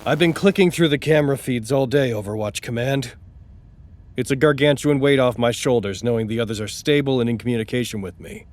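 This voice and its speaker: A man's voice: mid-range voice, brisk, a little mellow, lightly acted. Male, mid-range voice; actor as anxious, wry facility officer, formal and articulate audio drama delivery. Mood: confessional, wistful.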